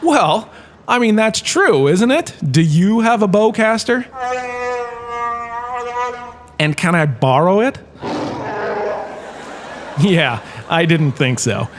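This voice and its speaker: A man's voice: sits high, big pitch swings, slow pace, pauses often, a little hiss or hum, exaggerated voice. A man playing a washed-up actor turned lecturer in a comedic audio drama, chatty and wry, tossing asides to his class. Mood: teasing.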